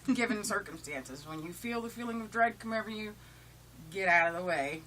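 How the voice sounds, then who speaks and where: A woman's voice: medium pitch, animated, fairly quick, fairly bright tone, slight background noise, low-quality recording. A woman in her late thirties from Ohio, speaking in a sarcastic, matter-of-fact way in an audition video. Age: 30s. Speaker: casting applicant.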